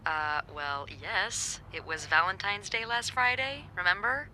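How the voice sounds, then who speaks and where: An actress playing a wry hotel coworker, speaking in a dry, knowing, teasing way. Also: female voice, medium pitch, a little slow, bright, forceful tone, slight background noise, poor audio. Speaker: hotel staff.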